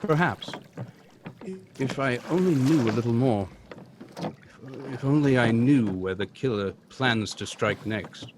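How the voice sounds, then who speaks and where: An actor playing a suave, thoughtful gentleman detective in an old-time radio drama, speaking in a measured, deliberate way. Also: medium pitch, natural voice. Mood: brooding deduction. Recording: live stream with clear background noise.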